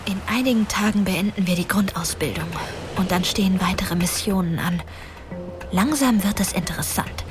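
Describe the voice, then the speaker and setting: A woman's voice: medium pitch, normal speed, lightly acted. An actress playing a young trainee heroine confiding her diary in a German fantasy radio drama, wistful and dry. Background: music.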